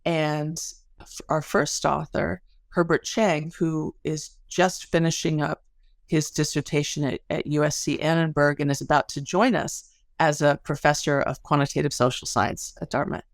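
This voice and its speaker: A woman's voice: deep voice, steady tone, moderate pace, no echo. A middle-aged woman speaking as an academic guest in a podcast interview, explaining things articulately through stories and analogies.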